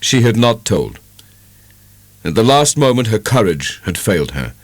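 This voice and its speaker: A man narrating a literary audiobook in an expressive, dramatic storytelling style. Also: on the low side, unhurried, dry recording.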